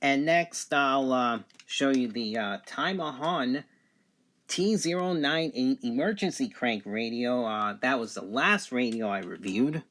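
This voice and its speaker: A man's voice: mid-range voice, animated, unhurried, a bit bright. Matter-of-fact man reviewing a product. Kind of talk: unscripted product review demonstration.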